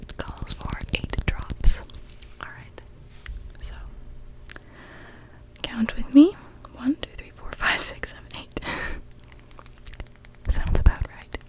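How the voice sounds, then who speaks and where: A kindly mermaid witch, acted out by a young woman whispers in a soft, mellow voice that sits slightly high. She is gentle and helpful, speaking straight to her customer in an intimate ASMR potion-brewing roleplay. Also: takes it slowly, pauses often, rough recording. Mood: softly pleased. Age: young adult.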